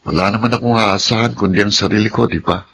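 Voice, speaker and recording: Earnest young street vendor, over music. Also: male voice, on the low side, steady tone, quick pace, fairly bright tone, a little hiss or hum, rough recording. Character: hardworking, self-reliant young street vendor friend. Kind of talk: Tagalog radio-style melodrama acting.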